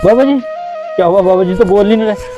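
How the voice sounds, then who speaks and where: A man's voice: high voice, highs cut off, over music, lightly acted. A man acting as a polite, deferential household servant in a moral drama, anxious to keep his job. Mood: alarmed.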